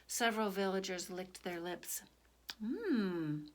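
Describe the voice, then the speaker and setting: A woman storyteller reading a picture book aloud for children in an expressive, animated way. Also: mid-range voice, unhurried.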